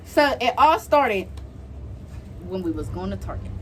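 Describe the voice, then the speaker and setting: A young American woman hosting a casual storytime vlog, talking in an animated, slangy, giggly way. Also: mid-range voice, unhurried, a little hiss or hum, low-quality recording. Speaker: vlog host.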